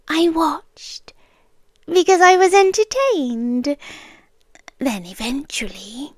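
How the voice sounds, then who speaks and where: A woman performs an ageless otherworldly girlfriend with a British accent and a high, hushed voice. She speaks very unhurriedly in an ASMR roleplay, drifting into whispers with obsessive, wistful devotion. The sound is intimate and dry, right at the microphone. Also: no room sound, full character voice. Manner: slow, deliberate, hushed, with dramatic pauses. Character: ancient otherworldly female being, obsessively devoted, wistfully watching humans. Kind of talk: soft ASMR roleplay, half-whispered monologue. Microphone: close ASMR microphone.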